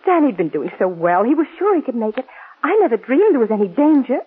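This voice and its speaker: Jealous clingy wife, 40s radio melodrama, intense, tinny muffled old broadcast. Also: female voice, on the high side, sing-song, quick pace, husky, heavily acted. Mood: wounded, pleading. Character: possessive, jealous young wife, cold and desperate. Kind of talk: 1940s radio drama acting.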